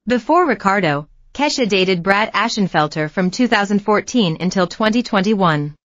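A woman narrating a scripted celebrity news story in a steady read-aloud manner. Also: mid-range voice, dry recording, muffled recording.

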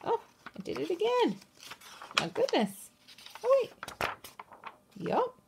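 A woman reading a children's picture book aloud in an expressive, sing-song, emphatic way, with chatty asides to young listeners. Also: high-pitched, slight background noise. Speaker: read-aloud storyteller.

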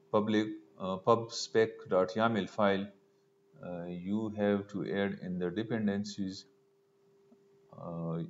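A man giving a step-by-step screencast programming tutorial, halting as he thinks aloud while typing. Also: low-pitched, creaky. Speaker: programming instructor. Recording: dull lo-fi mic with noise.

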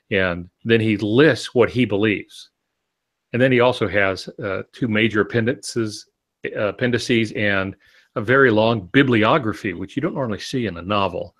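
An older man in his sixties with a fairly low voice talks through ideas as a podcast co-host. He explains conversationally, in a measured way, often pausing mid-phrase. He is on a video call from a home office. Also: fairly bright tone. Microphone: video call with earphones.

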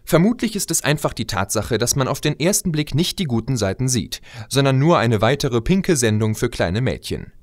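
A man delivering a scripted opinion monologue as a video blogger, conversational and expressive with rhetorical asides. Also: medium pitch, normal speed, few pauses, no echo. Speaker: video blogger and fan audio drama maker.

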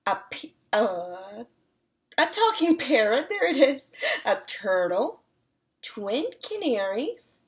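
A young woman reading a picture book aloud to children at home, deliberate and expressive, pausing to ask questions. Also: fairly high pitch. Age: young adult. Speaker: read-aloud storyteller. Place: small room at home, indoors.

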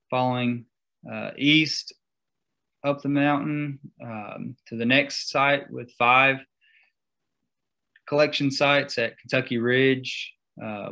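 A young man presenting slides in a seminar talk over a video call, halting and full of fillers. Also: mid-range voice, pushed and bright. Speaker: guest speaker.

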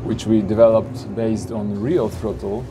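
Gravelly man. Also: lowish voice, steady tone, a little slow, rough recording. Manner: upbeat salesy, with frequent "you know" and "of course". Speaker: flight simulator company presenter. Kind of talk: product showcase narration. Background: background music.

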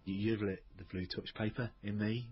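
A man's voice: lowish voice, fairly even intonation, normal speed, highs cut off. A man with a Northern Irish accent talking personally as a father in an intimate conversation.

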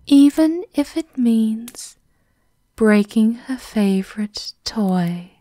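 A woman playing a devoted, menacing servant of a vampire countess, formal and softly sinister in an ASMR roleplay, often in a half-whisper. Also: a little high, lively intonation, very slow, no room sound. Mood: cold, threatening.

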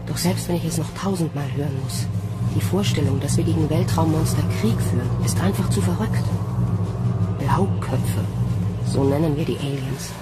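A woman's voice: low voice, moderate pace, lightly acted. An actress playing a cynical young female army recruit narrating a science fiction radio drama, sardonic and dry. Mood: bitter, reflective. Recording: music behind the voice.

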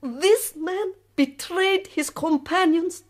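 An actress playing a proud, weary middle-aged revolutionary woman in a radio drama, speaking slowly and deliberately. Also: very high voice. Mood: bitter, grave. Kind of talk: BBC radio drama acting.

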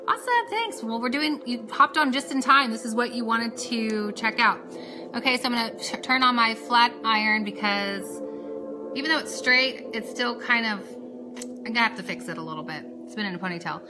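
A woman's voice: fairly high pitch, animated, normal speed, music in the background, rough recording. A woman in her thirties hosting a casual beauty live stream, chatting in a rambling way as she works. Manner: chatty, rambling, talks while doing hair and makeup. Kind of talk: casual live tutorial chat.

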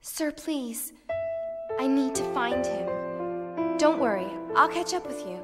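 A woman's voice: high-pitched, expressive, slow, long reverb tail, loud music behind the voice. A young woman with an American accent playing an earnest, determined police medic in a video game cutscene. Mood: pleading, anxious.

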